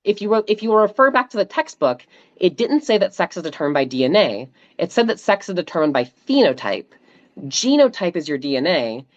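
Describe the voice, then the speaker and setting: A woman streamer debating a stranger, measured and precise, making her points carefully. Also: fairly low pitch, sing-song, no room sound, dull audio. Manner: measured, precise, cites a textbook. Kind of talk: calm argumentative debate.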